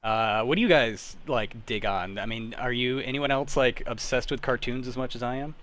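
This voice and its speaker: A man's voice: mid-range voice, moderate pace, noisy background. A man around his thirties hosting a casual group chat in an informal, self-deprecating way. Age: 30s. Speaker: host. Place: online group call.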